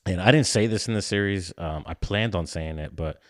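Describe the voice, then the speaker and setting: A man's voice: low-pitched, fairly quick, dry recording, clean studio sound. A man co-hosting a podcast and working as a pastor, chatting casually, teasing his co-host and laughing often. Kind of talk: casual chat.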